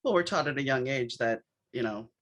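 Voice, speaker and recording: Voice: mid-range voice, lively intonation, brisk, a bit bright. A podcast host chatting casually in an interview and drawing everyday analogies. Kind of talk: conversational interview.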